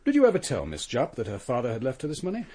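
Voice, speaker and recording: An actor playing a coolly courteous, relentless police inspector in a radio drama, formal and probing. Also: male voice, mid-range voice, wildly swooping pitch, rapid-fire, dark tone. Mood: probing, controlled.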